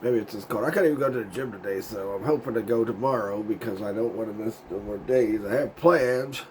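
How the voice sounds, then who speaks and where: A man hosting his own video show, with a voice gone raspy and hoarse from a sinus cold, chatting in a loose, meandering way, losing his words and chuckling now and then. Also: on the low side, brisk, darkish tone, slight background noise. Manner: laid-back and meandering, loses his words, chuckles often. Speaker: YouTube show host. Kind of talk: casual rambling vlog chat.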